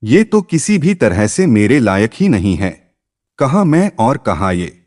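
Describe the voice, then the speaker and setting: A male storyteller plays a dissatisfied young man, a grown son in a moral tale. He talks emphatically to his parent, with lively rises and falls. The pace is slow and deliberate. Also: mid-range voice. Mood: upset, regretful. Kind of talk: acted dialogue in narrated moral story.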